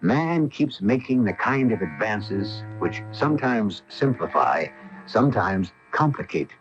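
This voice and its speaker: A man narrates a radio mystery as its host. His low voice swings widely, portentous and deliberate. The broadcast is dull and lo-fi, with music clearly underneath. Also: big pitch swings. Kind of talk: dramatic scripted narration.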